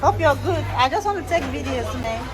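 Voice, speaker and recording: A woman's voice: high voice, animated, a little fast. A woman with a Cameroonian accent chatting casually as she films a travel vlog. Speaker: travel vlogger. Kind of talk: casual chat while filming. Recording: busy outdoor street noise, loud music. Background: loud music, crowd, traffic.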